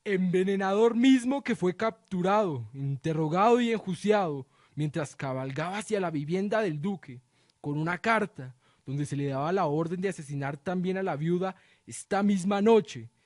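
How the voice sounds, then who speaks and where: A man's voice: a little high, lively intonation, moderate pace, a little mellow, no room sound, clean studio sound, natural voice. A man playing a zealous, solemn accuser who rouses a village against a witch in a radio drama. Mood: accusing, solemn. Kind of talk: Spanish-language radio drama acting.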